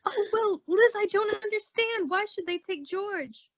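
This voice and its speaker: A woman playing a housewife's excitable, sympathetic best friend in theatrical old-time radio comedy style. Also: very high voice, a little slow. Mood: concerned. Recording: dull, lo-fi audio drama recording.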